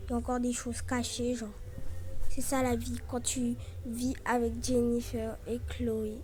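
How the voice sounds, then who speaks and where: Chatty high-voiced young French woman. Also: completely flat delivery, unhurried, a little mellow, rough recording. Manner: chatty, filler-heavy with frequent "genre" and "voilà". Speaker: vlogger. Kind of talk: casual room tour vlog.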